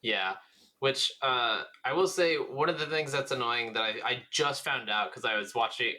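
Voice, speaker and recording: A man's voice: mid-range voice, normal speed, sharp tone. A man co-hosting a gaming podcast, chatting casually and informally with some swearing. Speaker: podcast co-host. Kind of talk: casual chat about video games.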